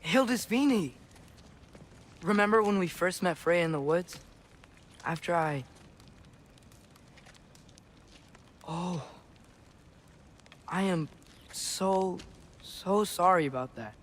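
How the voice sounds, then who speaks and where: Higher-voiced, earnest teenage boy. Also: slow, pauses often, a little hiss or hum. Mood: excited, then apologetic. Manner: casual, inquisitive, sincere. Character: earnest, curious teenage boy giant archer. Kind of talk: video game cinematic acting.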